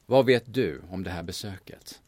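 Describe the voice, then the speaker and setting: A man's voice: sits low, a little mellow. An actor playing a blunt, pushy male investigator from the capital in a radio drama, curt, insinuating and threatening. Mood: probing.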